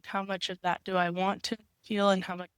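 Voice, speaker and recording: A woman in her twenties, raspy from a cold, thinking aloud in a casual conversation. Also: medium pitch, flat delivery, brisk, no room sound, studio quality. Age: 20s.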